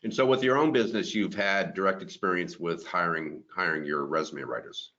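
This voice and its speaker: 50s guy hosting a webinar, lowish voice, upbeat, runs polls, muffled call sound. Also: a little fast, bright, forceful tone. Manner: upbeat, friendly moderator running polls. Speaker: webinar host.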